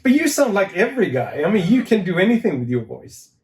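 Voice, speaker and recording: Male co-host, casual rambling chat, slightly high voice, roomy sound. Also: big pitch swings, fast, a little mellow. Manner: rambling, self-correcting, laughs often. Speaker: game studio staff, co-host. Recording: echoey room.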